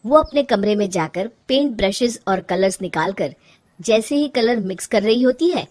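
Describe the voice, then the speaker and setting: A woman's voice: mid-range voice, expressive, moderate pace, a little mellow. A woman narrating a scripted moral story for children as the storyteller.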